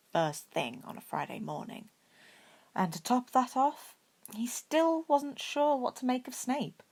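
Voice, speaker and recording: Low-pitched woman narrating a story. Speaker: amateur audiobook narrator.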